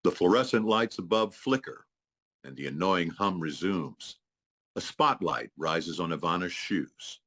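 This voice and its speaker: A man's voice: low voice, animated, unhurried, a bit bright. A man reading scripted stage directions as narrator at a live table read.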